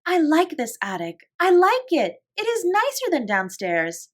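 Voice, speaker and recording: A woman reading aloud and voicing an eager little girl, high and squealing. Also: huge pitch swings, a little slow, heavily acted. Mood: excited. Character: small excited little girl, eager and delighted.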